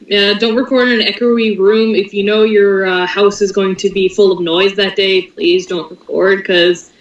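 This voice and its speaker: A woman speaks from far off on a muffled, echoey group call. Her casual talk stays very flat in pitch. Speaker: organizer of voice auditions.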